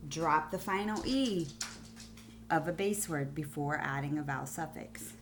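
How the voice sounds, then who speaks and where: A woman schoolteacher giving a phonics lesson to young pupils on video, explaining deliberately and spelling things out. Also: sits low, noisy background. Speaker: primary school teacher.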